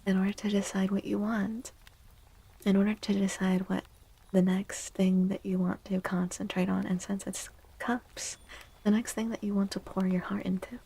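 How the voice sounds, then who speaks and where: A woman speaking softly and gently in a breathy near-whisper, chatting casually as an ASMR streamer giving readings. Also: medium pitch, little pitch movement, normal speed, poor audio. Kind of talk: soft-spoken ASMR, casual chat.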